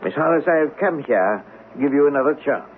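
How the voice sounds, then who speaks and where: A thin, hissy old radio recording of an actor as a wizened, creepy captain. He is oily and domineering, his pitch swinging theatrically as he insinuates and commands. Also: animated, fast, natural voice. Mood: pompous. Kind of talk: old-time radio melodrama acting. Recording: tinny band-limited old radio broadcast, hiss.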